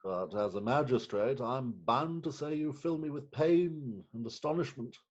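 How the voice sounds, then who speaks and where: A man acts out a flustered middle-aged magistrate in a stage farce. He is pompous and self-pitying, with halting, theatrical delivery. The sound is a little dull and lo-fi. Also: mid-range voice, lively intonation, darkish tone, lightly acted. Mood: pompous, mournful. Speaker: actor. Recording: dull remote call audio.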